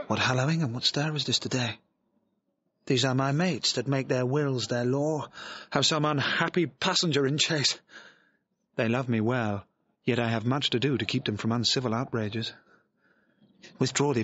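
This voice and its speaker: An actor playing a lonely, pensive exiled young gentleman in a reflective, declamatory classical Shakespearean soliloquy, with a husky voice. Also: mid-range voice, steady tone, unhurried, long pauses, dry recording. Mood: pensive, yearning. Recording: dull, lo-fi audio recording.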